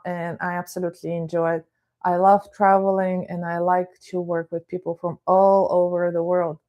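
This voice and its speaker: A middle-aged woman from Bulgaria, a therapist and life coach giving a calm, explanatory live-streamed talk and greeting viewers by name. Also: lowish voice.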